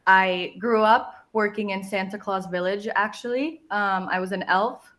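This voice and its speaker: A young woman from Finland, a company co-founder, answering interview questions in a relaxed, friendly way over a video call. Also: mid-range voice, unhurried. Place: home room, video call. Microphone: video call webcam.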